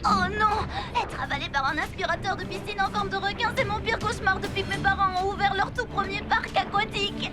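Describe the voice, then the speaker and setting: A woman voicing a chatty, dramatic, excitable young girl in a French cartoon dub, with a playful, gushing delivery. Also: very high-pitched, a little fast, hardly any pauses, over loud music, a loud crowd. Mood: dramatic, alarmed. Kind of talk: French animated series dubbing.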